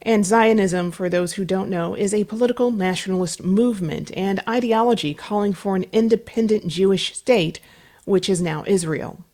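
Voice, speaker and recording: A woman hosting a public radio interview show, introducing a news story and her guest in a measured, formal newsreader delivery. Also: medium pitch, warm tone.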